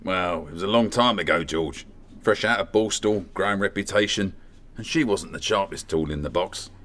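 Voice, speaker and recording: An actor playing an older, world-weary police inspector, dry and commanding in a radio play. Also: male voice, sits low, moderate pace, a bit bright. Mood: wry, knowing. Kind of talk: comedy radio play acting.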